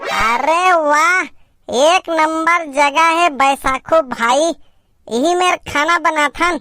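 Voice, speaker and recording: A man voicing an excitable, grumbling villager in a cartoon comedy, with a Chhattisgarh accent and an exclamatory, teasing delivery through a pitched-up effect. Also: takes it slowly, full character voice. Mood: delighted. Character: excitable, grumbling male villager on a picnic outing.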